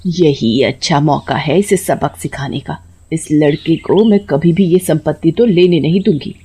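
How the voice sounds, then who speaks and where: A woman's voice: deep voice, unhurried, dark tone, husky, exaggerated voice. A woman voicing a scheming, spiteful grandmother in a cartoon story, with a dramatic, scolding manner. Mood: plotting. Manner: dramatic, scolding, wailing when feigning hurt.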